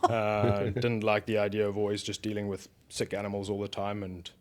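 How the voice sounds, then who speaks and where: South African man in his forties, podcast guest, a fairly low voice, talks thoughtfully with fillers. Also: flat delivery, brisk. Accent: South African, softened by years in America. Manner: thoughtful, explains at length with frequent fillers. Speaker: guest, beef research director. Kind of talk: casual podcast interview.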